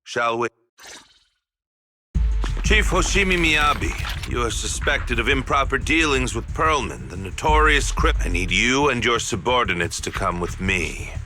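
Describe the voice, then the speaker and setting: An actor playing a pompous, hot-tempered police inspector in a video game, speaking in a formal, theatrical manner. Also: male voice, mid-range voice, lively intonation, measured pace, noisy background, lightly acted. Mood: smug, official.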